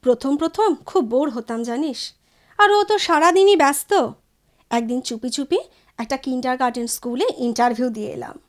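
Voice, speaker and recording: Woman acting a high-voiced, playful woman. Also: brisk, natural voice. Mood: chatty, reflective. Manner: teasing, coaxing, girlish excitement. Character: playful Bengali woman back from London meeting old college friends. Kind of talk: Bengali audio drama acting.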